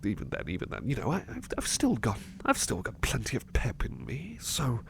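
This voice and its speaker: Guy doing a nervous devoted butler for asmr roleplay, soft n stammery, creaky voice, bit of background noise. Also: medium pitch, huge pitch swings, normal speed, heavily acted. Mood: wistful, pleading. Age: middle-aged or younger adult. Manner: hesitant, stammering, soft-spoken, drifting into whispers. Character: devoted, anxious butler, haunted runaway from another world.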